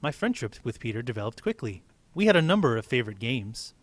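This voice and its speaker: Male teacher, mid-pitched voice, reading a story aloud and chatting in asides. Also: big pitch swings, quick pace, dry recording.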